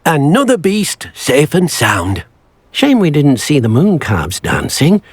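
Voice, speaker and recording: A man's voice: fairly high pitch, wildly swooping pitch, slow pace, husky, dry recording, exaggerated voice. An actor playing a small, eager male house elf in a video game, who talks of himself in the third person in a fussy, polite way. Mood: helpful. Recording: faint game music behind.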